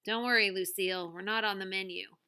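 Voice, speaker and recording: A woman's voice: medium pitch, fairly even intonation, a little fast, bright, forceful tone, clear, resonant tone. A woman voicing a sensible, protective teenage boy who is earnest, wary and plain-spoken. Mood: reassuring.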